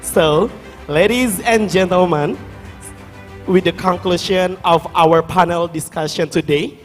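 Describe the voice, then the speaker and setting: A man's voice: sits high, measured pace. A man, formally announcing as master of ceremonies at a live event. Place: hall on a stage, live event. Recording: echoey hall with faint music.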